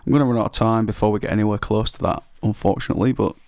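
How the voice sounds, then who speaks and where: A man with a croaky voice, giving rambling, self-deprecating commentary while playing an old computer game. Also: on the low side, rapid-fire, darkish tone, no room sound, studio quality. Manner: rambling, self-deprecating, hesitant with many ums. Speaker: retro gaming YouTuber. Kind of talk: casual gameplay commentary.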